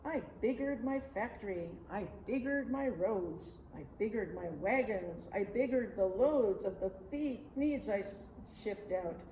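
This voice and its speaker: A woman reading a rhyming children's story aloud in an expressive, measured, dramatic way. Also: medium pitch. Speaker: storybook reader. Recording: roomy, distant mic, faint noise.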